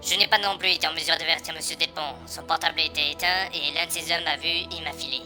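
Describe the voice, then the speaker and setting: An actress playing a mysterious informant who gives orders in a formal, clipped, commanding way in an audio drama. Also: female voice, sits low, steady tone, fast talker, pushed and bright, soft background music. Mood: cool. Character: mysterious informant calling with instructions.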